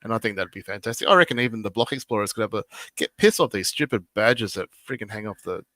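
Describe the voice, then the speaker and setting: A man's voice: on the low side, fast, sharp tone, dry recording. An Australian man co-hosting a podcast, chatting bluntly with strong opinions and trailing fillers. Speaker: podcast co-host. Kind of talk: casual chat.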